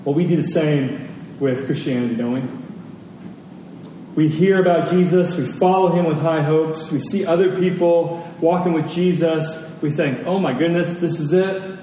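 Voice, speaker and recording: A man preaching in a conversational sermon style, earnest and rhetorical, pausing deliberately for weight. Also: a little high, warm tone. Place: large reverberant room, church service. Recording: very echoey large hall, distant, noisy.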